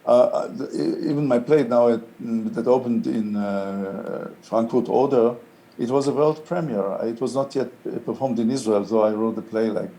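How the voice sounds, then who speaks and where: An older man whose first language is Hebrew, answering interview questions in English in a thoughtful, halting way with many fillers. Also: medium pitch, warm tone. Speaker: interviewed playwright.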